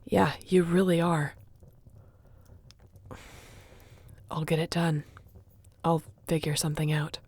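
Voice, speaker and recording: A woman's voice: low voice, level tone, normal speed, a little mellow, breathy edge. A woman acting as a guarded, irritable young shifter tracker in an audio roleplay, curt and dryly sarcastic. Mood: irritated, curt.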